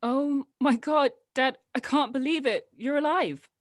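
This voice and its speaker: A woman's voice: high voice, a little slow, dry recording, studio quality, voice pushed up. A woman playing a blunt, teasing teenage daughter with youthful energy in a drama table read. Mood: disbelieving joy. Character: blunt, excited teenage daughter reunited with her dad.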